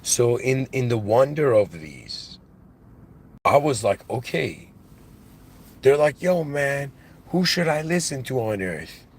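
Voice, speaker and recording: A man with an accent in English, giving a reflective spiritual monologue as a channel host, halting and musing, with restarts and devout phrases. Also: mid-range voice, very animated, husky. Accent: non-native English.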